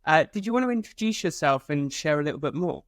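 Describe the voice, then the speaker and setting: A man's voice: on the high side, expressive, fast talker, no echo, studio quality. A man hosting a podcast interview, asking polite, encouraging questions. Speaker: podcast host.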